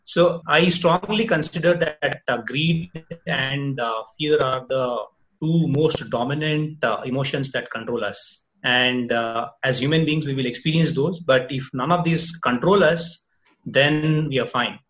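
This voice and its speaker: A man's voice: medium pitch, measured pace, fairly bright tone, slight background noise, rough recording. A man with an Indian English accent, a company co-founder answering interview questions in a reflective, slightly philosophical way. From Odisha, India.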